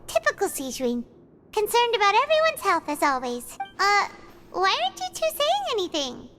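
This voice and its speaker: A woman voicing a tiny, chirpy, curious childlike fairy companion in a video game, with expressive delivery. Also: very high-pitched, normal speed, noisy background, full character voice. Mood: curious, chatty. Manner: refers to self in third person, expressive.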